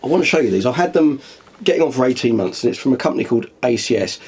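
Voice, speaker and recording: A man's voice: medium pitch, lively intonation, very fast, darkish tone, slight background noise. A middle-aged man, a musician talking casually to camera with a chatty, dry self-deprecating humour. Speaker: musician vlogger.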